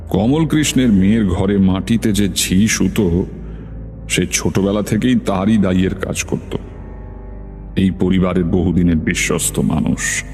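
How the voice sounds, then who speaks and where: Deep-voiced male suspense story narrator. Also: a little mellow. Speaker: first-person narrator.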